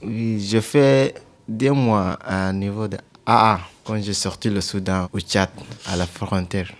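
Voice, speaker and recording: A man's voice: on the low side, steady tone, unhurried. A young man from Sudan, whose first language is Zaghawa, giving short, simple answers as a French learner.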